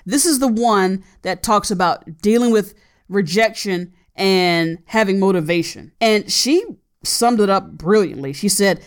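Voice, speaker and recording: A woman's voice: mid-range voice, a little slow, clean tone. A woman in her late thirties hosting an advice podcast, talking earnestly and repeating her key points for emphasis. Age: 30s. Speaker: podcast host.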